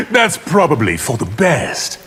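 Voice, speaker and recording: An actor voicing a smug, scheming sorcerer villain in a video game, with a measured, theatrical, sneering delivery. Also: male voice, fairly high pitch, a bit bright, husky, heavily acted. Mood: amused menace. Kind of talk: video game character dialogue.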